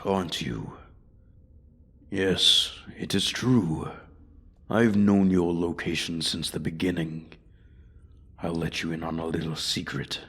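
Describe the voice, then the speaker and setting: A man's voice: deep voice, breathy edge, full character voice. A man voicing an ancient male dragon in a fantasy roleplay, haughty, bored and menacing, speaking formally and condescendingly. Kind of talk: ASMR fantasy roleplay audio.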